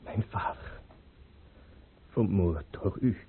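Anguished young monarch: breathy, low, dramatic, heard through narrow vintage radio sound. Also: male voice, takes it slowly, exaggerated voice. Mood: grief-stricken. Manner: dramatic, emotionally weighted delivery. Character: anguished young tsar, grieving his murdered father. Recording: muffled, narrow-band old radio play recording.

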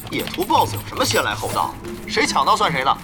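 A man's voice: on the high side, huge pitch swings, quick pace. A young man playing a brash, wily gamer guild leader bantering with rivals in an audio drama. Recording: music under the dialogue.

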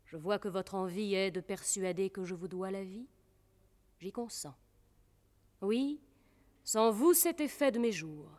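An actress playing a proud young princess in a classical French verse comedy, declaiming formally with cold, haughty irony. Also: female voice, medium pitch, animated, a little slow, long pauses, darkish tone, close to an everyday voice. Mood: haughty, ironic. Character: proud, disdainful young princess, coldly ironic toward suitors. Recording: long reverberant hall.

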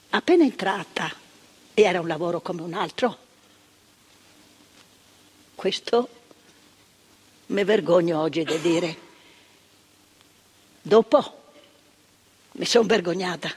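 A husky former asylum nurse. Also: female voice, fairly low pitch, darkish tone, full character voice. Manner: plainspoken, repeats phrases for emphasis. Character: former woman asylum nurse, ashamed, blunt, recounting. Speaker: stage actress in a monologue. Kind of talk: theatrical monologue of remembered testimony. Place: theatre stage. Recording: long stage reverb, radio broadcast.

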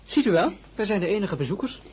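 An actor playing a courteous young crown prince with formal, charming manners. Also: male voice, high-pitched, big pitch swings, quick pace, darkish tone, a little hiss or hum, rough recording. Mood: friendly. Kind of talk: radio play acting.

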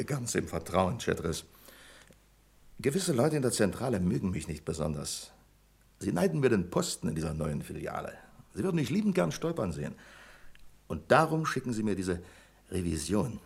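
Pompous, animated bank manager, low voice. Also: male voice, normal speed, creaky, long pauses. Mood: confiding, suspicious. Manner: pompous, officious, delegating with relish. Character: self-important, suspicious male bank branch manager, fussy and talkative. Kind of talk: German radio play acting.

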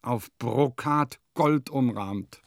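An actor playing a vain, eccentric composer who muses theatrically and pompously in a radio play monologue. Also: male voice, mid-range voice, extremely slow, no echo, studio quality. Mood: elated, pompous. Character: vain, eccentric male composer musing in his diary.

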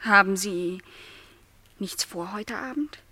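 An actress playing a lonely young housemaid in a literary radio play, speaking earnestly, politely and with some hesitation. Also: female voice, mid-range voice, slow, pushed and bright. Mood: polite, reluctant. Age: young adult.

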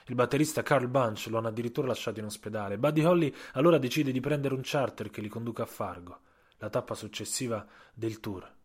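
A man narrating a scripted literary audio story, with dramatic, measured phrasing and deliberate pauses. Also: mid-range voice, breathy edge.

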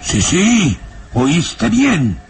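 A man's voice: high-pitched, huge pitch swings, full character voice. An actor playing a sinister, scheming middle-aged baron in a melodramatic radio serial, imperious and gloating, drawing out his words. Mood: angry, commanding. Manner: imperious, slow gloating delivery with drawn-out words. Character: sinister scheming baron, menacing, gloating father and castle lord. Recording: noisy old radio drama recording.

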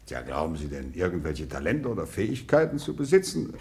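An actor playing a self-important, excitable middle-aged Russian general in a 1950s radio drama, formal and abrupt in his speech. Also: sits low, a little fast, creaky, full character voice. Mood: probing, condescending.

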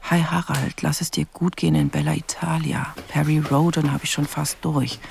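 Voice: medium pitch, level tone, moderate pace, creaky, darkish tone, slight background noise. An actor playing a terse investigator in a radio crime drama, listing evidence in clipped phrases and musing aloud in questions. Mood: matter-of-fact. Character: terse, probing investigator going through a dead man's things. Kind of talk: radio crime drama, first-person narration.

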